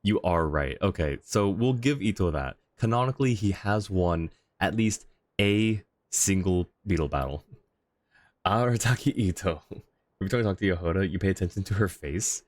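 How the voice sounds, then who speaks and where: Low-voiced man livestreaming casually. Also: expressive, normal speed, dry recording. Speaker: game livestreamer. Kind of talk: casual livestream commentary, chatting with viewers.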